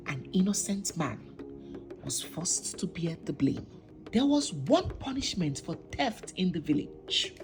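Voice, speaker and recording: A woman narrating a scripted folktale as a storyteller, with deliberate, dramatic phrasing and pauses. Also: mid-range voice, poor audio. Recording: music behind the voice. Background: instrumental music.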